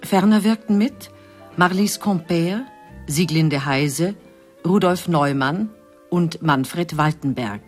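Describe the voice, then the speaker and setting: Slow, formal radio announcer. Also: medium pitch. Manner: formal, measured. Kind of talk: scripted announcement of radio play credits.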